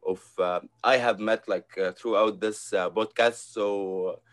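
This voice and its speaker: A man's voice: fairly low pitch, steady tone, a little slow. A man from Egypt hosting a podcast interview, speaking hesitantly with many fillers and restarts. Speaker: podcast host. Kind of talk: casual interview.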